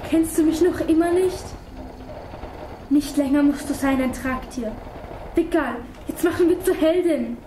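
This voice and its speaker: Slow, very high-voiced haunted soldier girl acted by a woman. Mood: commanding. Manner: slow, theatrical delivery. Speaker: performer in a student music theatre piece. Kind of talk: experimental opera stage declamation. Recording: echoey room, faint noise.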